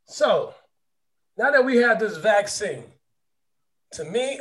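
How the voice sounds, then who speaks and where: A man co-hosting a podcast, joking and a bit halting as he makes his point. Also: high-pitched. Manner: loose and joking, halting with fillers. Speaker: podcast co-host. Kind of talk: casual podcast chat.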